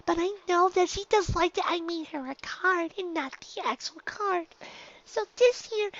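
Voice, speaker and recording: Squeaky, very high little filly. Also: female voice, lo-fi sound. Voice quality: squeaky, childlike. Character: small earnest young filly, sweet and eager.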